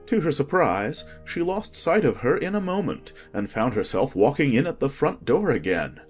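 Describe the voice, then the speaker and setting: A children's audiobook narrator reads scripted prose in a medium-pitched voice. The delivery is very lively and varied, with faint music underneath. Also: normal speed.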